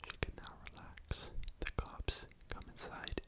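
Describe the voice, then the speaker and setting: Someone whispering a true crime story asmr style, all breathy, casual and chatty. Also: measured pace. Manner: casual, conversational retelling with repetition.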